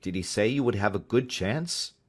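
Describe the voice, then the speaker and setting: A man reading aloud, voicing an excitable adult schoolmaster who asks question after question. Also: on the low side, normal speed, breathy edge. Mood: eager. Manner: fussy, exclaiming, questioning.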